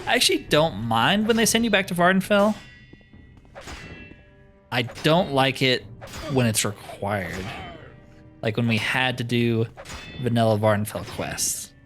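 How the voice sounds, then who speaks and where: A man's voice: medium pitch, animated, measured pace, pauses often, a bit bright, faint music behind. A man giving casual, chatty let's-play commentary, often explaining himself in asides. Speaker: host of a gaming playthrough.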